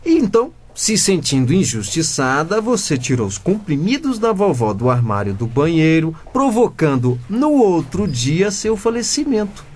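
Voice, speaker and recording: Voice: mid-range voice, big pitch swings, moderate pace, hardly any pauses, a little mellow, slight background noise, natural voice. Alguém interpretando um garoto brasileiro de quinze anos convencido, que banca o detetive com ar pomposo em radionovela cômica. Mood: triumphant. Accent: Brazilian. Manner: pompous, theatrical, mock-formal interrogating. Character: cocky fifteen-year-old boy playing pompous amateur detective. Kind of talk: comedic radio drama acting.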